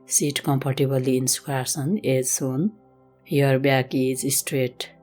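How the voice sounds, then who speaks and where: Very low-voiced woman guiding meditation. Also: level tone. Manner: gentle, measured, instructional. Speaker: yoga and meditation instructor. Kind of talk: slow guided meditation. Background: music.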